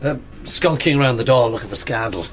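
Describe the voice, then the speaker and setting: An actor with an Irish accent playing a newspaper editor, a loyal but cautious friend, in a naturalistic radio play. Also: male voice, medium pitch, expressive, brisk, soft background music, lightly acted. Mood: jovial, teasing.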